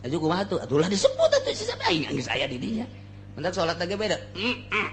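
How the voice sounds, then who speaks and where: A man's voice: high voice, huge pitch swings, fast talker, background noise. A man with a Sundanese accent giving an informal Islamic sermon, speaking in a colloquial, earthy way and repeating phrases for emphasis. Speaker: Islamic preacher and teacher. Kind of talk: informal sermon with Arabic recitation.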